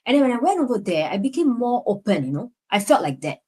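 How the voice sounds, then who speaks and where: A woman's voice: fairly high pitch, sing-song, fast, darkish tone, husky. A young woman from Singapore with a Singaporean English accent, a lecturer reflecting on her past in a casual conversation. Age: young adult. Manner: reflective, frequent "you know" fillers. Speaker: lecturer, guest.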